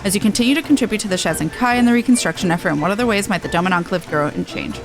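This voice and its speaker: A woman's voice: mid-range voice, very animated, racing, music in the background, voice pushed down, low-quality recording. A woman voicing a courteous, formal quest-giver, reading video game dialogue aloud. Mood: formal, narrating. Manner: polite, formal, expository.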